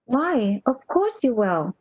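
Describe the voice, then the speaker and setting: An actress plays a loyal, soothing nurse in a radio drama, speaking very slowly in a fairly high voice. She is deferential and reassuring, and the recording is a little dull. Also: sing-song, a little mellow, dry recording, full character voice. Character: faithful, soothing, deferential female nurse hiding bad news. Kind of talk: radio play acting.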